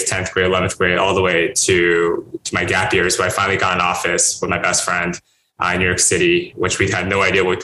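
A man's voice: sits low, sharp tone, a little hiss or hum. A young man from China whose first language is Chinese, answering interview questions in earnest, rambling answers with restarts. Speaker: guest entrepreneur. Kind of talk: casual podcast interview. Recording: roomy echo.

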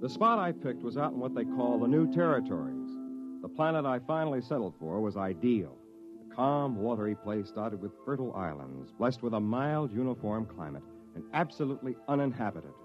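Male actor as earnest young misfit space settler, old radio drama. Chatty, lively intonation, muffled broadcast sound. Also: medium pitch, fairly quick, music in the background, natural voice. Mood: reflective. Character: self-declared misfit young man, proudly eccentric space settler. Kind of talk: 1950s radio drama acting, first-person narration.

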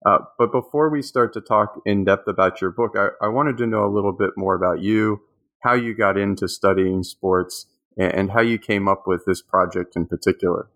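A man's voice: fairly low pitch, normal speed, fairly bright tone. A man hosting a book interview podcast, hesitant and repeating words as he asks questions. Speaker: podcast host.

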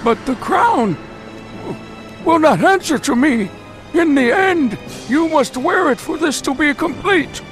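Radio drama villain: ancient sinister sorcerer, very high voice, drawn-out incantatory delivery. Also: male voice, wildly swooping pitch, faint music behind, exaggerated voice. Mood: desperate strain. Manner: slow, grandiose, incantatory delivery. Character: sinister old male sorcerer leading a dark ritual. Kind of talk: old-time radio drama acting.

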